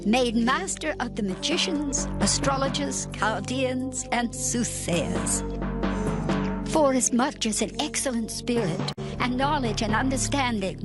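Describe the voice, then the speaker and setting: A woman's voice: high voice, measured pace. A woman playing a stately queen who counsels a king in formal, declamatory archaic English, in a dramatized scripture reading. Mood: earnest, reassuring. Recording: loud music under the voice.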